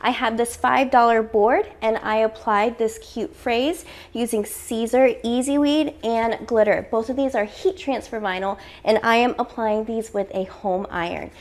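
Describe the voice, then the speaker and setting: A woman in her thirties, a craft tutorial host getting over a cold, explaining software steps in a casual, step-by-step way at her desk. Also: a little high, steady tone, a little slow, few pauses. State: voice worn from a cold. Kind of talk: screen-recorded software tutorial.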